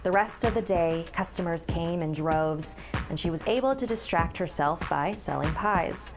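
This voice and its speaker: A woman's voice: on the low side, moderate pace. A woman in her thirties giving a scripted, dramatic narration as a scary-story teller. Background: background music.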